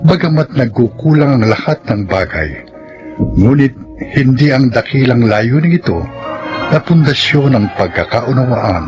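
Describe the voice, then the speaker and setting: Over prominent music on a dull broadcast recording, a Filipino man announces a radio drama. He speaks slowly and solemnly, with formal, declamatory weight and plenty of melodic movement. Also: mid-range voice, animated. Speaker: announcer and program narrator.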